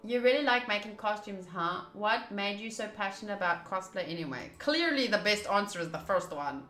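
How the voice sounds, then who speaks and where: Female streamer for visual novel playthrough: bright voice, casual reading and commentary, roomy echo. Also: medium pitch, lively intonation, brisk. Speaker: game streamer. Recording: roomy echo, faint game music. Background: faint game music.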